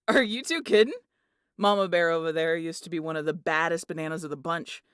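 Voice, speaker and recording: A woman's voice: low-pitched, fairly bright tone, clean tone, no echo, studio quality. A woman voicing a brash, teasing family friend who tells stories in a salty way in an audio roleplay. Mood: gleeful, boasting. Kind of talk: audio roleplay acting, animated storytelling.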